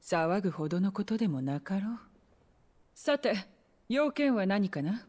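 Casting: haughty eccentric war scholar for a game, mid-pitched, cool, blunt, self-assured. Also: female voice, very animated, measured pace, a little mellow. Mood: wry, haughty. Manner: pompous, cool, blunt masculine phrasing. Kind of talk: Japanese video game cutscene acting.

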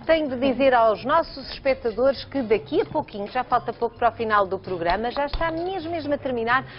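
A woman's voice: a little high, lively intonation, brisk. A woman in her thirties with a European Portuguese accent, hosting a live TV cooking segment and chatting teasingly with her guest. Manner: chatty, teasing, plugging a phone contest. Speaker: TV show host. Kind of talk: live daytime TV cooking chat. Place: bright TV studio kitchen set. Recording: dull broadcast sound with music.

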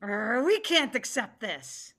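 A woman's voice: fairly high pitch, sing-song, takes it slowly, sharp tone, exaggerated voice. A woman voicing a stern, wrathful tigress in a play reading, with a commanding, declarative manner. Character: stern, wrathful female tiger who leads the council. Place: home room, video call.

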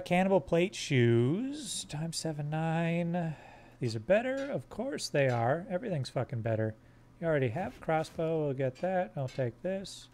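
A man with a slightly high, fairly monotone voice talks through a live game stream. He jokes crudely with chat while fiddling with his squad's gear. Faint game ambience hums underneath. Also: slight background noise. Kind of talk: live gameplay commentary.

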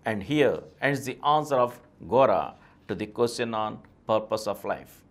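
A middle-aged man with an Indian accent, reading a scripted text aloud in a formal, deliberate way, phrase by phrase. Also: on the low side, lively intonation. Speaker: presenter reading answers aloud. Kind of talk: scripted reading of a question-and-answer essay.

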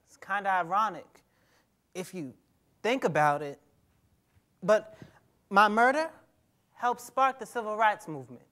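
A skeptical, aggrieved teenage boy in a stage drama, played by a young man. His voice sits very high for a male, and he talks in a colloquial, pointed way that keeps questioning and challenging. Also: lively intonation, a little slow, pauses often, sharp tone, full character voice. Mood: bitter, reflective. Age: young adult. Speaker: actor in a staged reading. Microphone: lapel mic on a stage.

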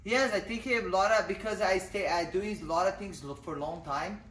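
A woman in her thirties, a native Portuguese speaker and fighter, talking casually in a boxing gym with halting English and many restarts. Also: mid-range voice, far from the mic. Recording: echoey gym with clear noise.

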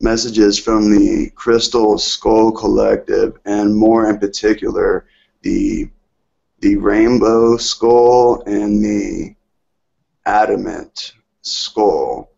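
A man's voice: lowish voice, expressive, vocal fry, dark tone, highs cut off. A man calling in to speak earnestly and hesitantly about his spiritual experiences. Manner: hesitant, earnest, drawn-out phrasing. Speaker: caller. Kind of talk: slow, reverent spiritual talk.

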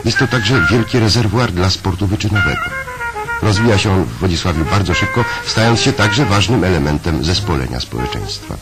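A man reading the scripted narration of a 1970s documentary film in a measured way, heard from an archival film soundtrack. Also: low voice, lively intonation, loud music behind the voice.

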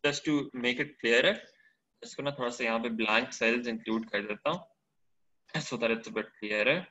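A man's voice: medium pitch, unhurried, sharp tone, muffled recording. A man teaching a spreadsheet lesson over a screen recording, switching between Hindi-Urdu and English, checking in often with short questions. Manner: mixes Hindi-Urdu and English, explains step by step.

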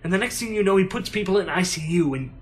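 An actor playing an anxious, deferential adviser to a president in an audio drama, hesitant and cautioning. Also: male voice, high-pitched, animated, fast talker. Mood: worried.

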